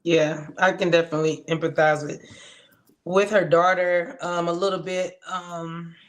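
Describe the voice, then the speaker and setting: A woman's voice: fairly low pitch, a little slow. A woman co-hosting a podcast, chatting casually and giving frank opinions. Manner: relaxed, conversational, frank opinions. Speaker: podcast co-host recapping reality TV. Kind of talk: casual chat.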